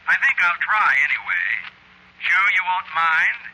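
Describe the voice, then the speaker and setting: Very sharp-voiced gossiping man. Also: sits high, sing-song, unhurried, exaggerated voice. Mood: idly gossiping. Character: casual, callous, gossipy man on a phone line. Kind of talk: old-time radio drama acting. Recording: hissy vintage radio, telephone-line sound.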